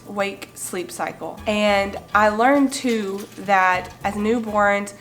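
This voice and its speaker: A young woman in her twenties with a cold, a little raspy and nasal, chatting to camera about her babies in a casual home vlog, gesturing as she talks. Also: mid-range voice, fairly bright tone. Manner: chatty, gestures with hands while explaining. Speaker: mom vlogger. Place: bright living room indoors.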